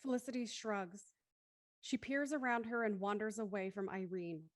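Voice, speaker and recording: A woman's voice: mid-range voice, steady tone, normal speed, no echo, clean studio sound, natural voice. A woman acting as the intent narrator of an audio thriller, reading dramatic scripted narration. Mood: intent storytelling.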